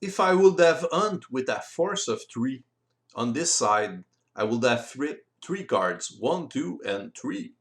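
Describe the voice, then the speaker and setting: A man explaining board game rules step by step in simple, deliberate phrasing. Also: mid-range voice, expressive, a bit bright. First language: French. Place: voice-over recorded separately from the video.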